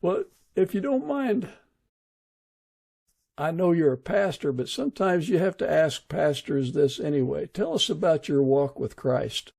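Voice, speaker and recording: Creaky, mellow male podcast host. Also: fairly high pitch, expressive, measured pace. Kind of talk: casual interview chat.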